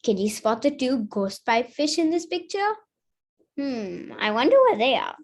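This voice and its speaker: A woman's voice: sits high, very animated, moderate pace. A woman reading a children's picture book aloud, carefully, with the odd stumble over a word. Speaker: story reader.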